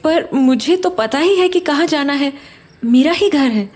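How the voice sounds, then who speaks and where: High-voiced, uneasy young woman acted by a woman. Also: animated, a little slow, a little mellow. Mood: doubtful. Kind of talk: audio horror drama acting.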